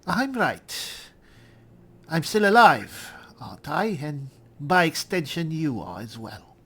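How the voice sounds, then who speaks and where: A man's voice: fairly high pitch, very animated, slow, a bit bright, breathy edge. A man roleplaying through a fantasy game in casual commentary, with dry, wry, offhand replies. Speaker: gamer roleplaying as an elf spellsword.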